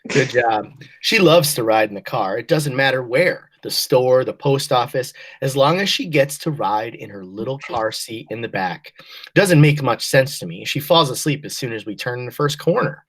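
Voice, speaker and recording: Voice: medium pitch, lively intonation, normal speed, slightly rough. A teacher reading a children's novel aloud to a class and giving encouraging prompts.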